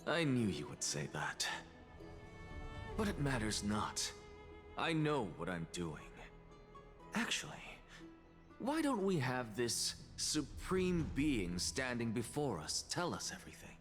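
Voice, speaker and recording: An actor playing an arrogant, cryptic scholar in a video game, speaking in a deliberate, rhetorical and condescending way. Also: male voice, mid-range voice, huge pitch swings, a bit bright, in a large hall, faint music behind, exaggerated voice. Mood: confident, cryptic. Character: arrogant, cryptic male scholar with theatrical self-assurance. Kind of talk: dramatic video game voice acting.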